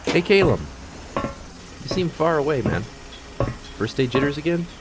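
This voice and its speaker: An actor playing an easygoing, cheerful rig worker and buddy in casual audio drama dialogue. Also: male voice, fairly high pitch, big pitch swings, measured pace, very noisy. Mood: teasing concern. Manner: informal, buddy-like.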